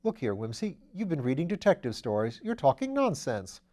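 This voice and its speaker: Brief: man reading a plain-spoken skeptical detective, mellow voice, storybook style. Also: mid-range voice, wildly swooping pitch, a little fast. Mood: matter-of-fact. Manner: plain, matter-of-fact, skeptical. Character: level-headed male police detective friend. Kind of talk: audiobook character voice.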